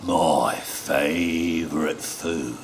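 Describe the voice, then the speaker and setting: A man voicing a big, gullible monster from a children's storybook, with slow, heavy, drawn-out words. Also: a bass voice, slight background noise, pitched below the performer's own voice, exaggerated voice. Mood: menacing.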